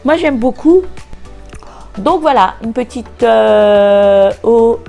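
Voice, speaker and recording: A woman vlogger chatting casually through an unboxing, nasal and congested from a cold, pausing often with hesitant filler sounds. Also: on the high side, animated, gravelly, warm tone, faint music behind. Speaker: beauty vlogger.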